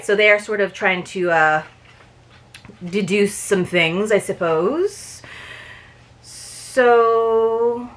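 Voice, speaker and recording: A woman's voice: on the low side, a bit bright. A woman chatting casually to viewers as she unboxes and reviews a book subscription box, laughing often.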